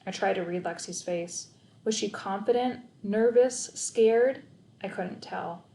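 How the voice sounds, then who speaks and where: A woman narrating a children's novel aloud in an expressive, storytelling style. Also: medium pitch, measured pace, echoey room. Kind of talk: expressive children's audiobook narration, first person.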